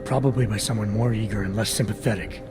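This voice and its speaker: A man's voice: on the low side, steady tone, quick pace, natural voice. Actor as a blunt, stern male agent. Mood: firm, persuasive. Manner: blunt, matter-of-fact. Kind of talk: video game cutscene acting. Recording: music behind the voice.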